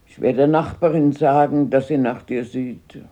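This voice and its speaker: A woman's voice: rumbling low, steady tone, moderate pace, warm tone, lo-fi sound. An actress playing a talkative, bossy but caring old grandmother in a radio drama. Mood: reassuring. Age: older. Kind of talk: 1970s German radio play acting.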